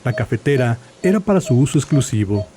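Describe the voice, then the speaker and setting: A man's voice: mid-range voice, big pitch swings, a little fast, warm tone. A man giving a dramatic scripted narration as an audiobook narrator. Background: music.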